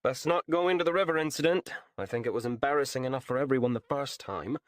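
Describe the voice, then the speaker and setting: A man's voice: mid-range voice, quick pace, no room sound, studio quality, pitched above the performer's own voice, natural voice. A man voicing a sardonic young male companion who teases the others. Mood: teasing.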